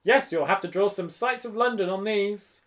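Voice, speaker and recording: A man's voice: high-pitched, moderate pace, a bit bright, voice pushed up. A man voicing a jovial, effusive shopkeeper in a children's audiobook. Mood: effusive sales patter. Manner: effusive, salesman-like. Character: jovial, effusive male shopkeeper talking up his wares. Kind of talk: children's audiobook character reading.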